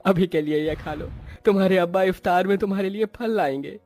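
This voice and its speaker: A man doing a meek, pleading poor father, raised pitch. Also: brisk, a little mellow, soft background music, close to an everyday voice. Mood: gentle. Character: humble, pious poor working father, pleading and gentle.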